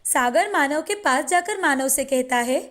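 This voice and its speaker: A woman narrating a moral cartoon story in a steady, scripted storytelling manner. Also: high-pitched, a little slow.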